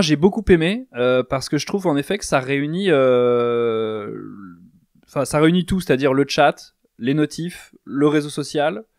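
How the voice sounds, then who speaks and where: A man hosts the interview and asks his questions hesitantly, full of fillers. His voice sits at a middle pitch. The sound is close and dry, with no room around it. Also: dry recording. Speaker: podcast host.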